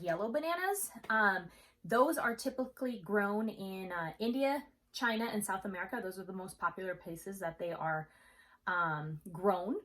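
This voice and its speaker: A woman with a bright, clear-cut voice at a medium pitch speaks as a primary school teacher to her young class. She is chatty and expressive, in the style of an upbeat video lesson with a read-aloud. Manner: chatty, addresses children as friends, expressive. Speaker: third grade teacher.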